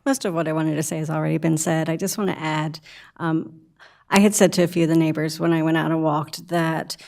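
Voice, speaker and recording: An American woman with plenty of vocal fry and a slightly low pitch speaks as a commissioner. Her public remarks are measured, with a hesitant edge. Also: little pitch movement, darkish tone. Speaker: town board commissioner. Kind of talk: public remarks at a town meeting.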